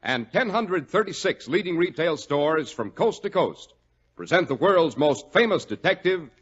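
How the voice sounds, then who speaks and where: A man's voice: on the high side, expressive, moderate pace, fairly bright tone, breathy edge. An American man announcing in scripted 1940s radio style, with a polished, emphatic sales delivery. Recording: dull vintage 1940s radio recording.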